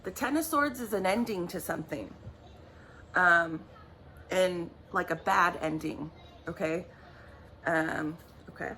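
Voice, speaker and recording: A woman giving a tarot reading in a chatty, rambling way, apologizing often, her voice raspy from just waking up. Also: on the low side, slow pace, pauses often, pushed and bright, a little hiss or hum, low-quality recording. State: raspy morning voice. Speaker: tarot reader. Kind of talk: casual vlog talk.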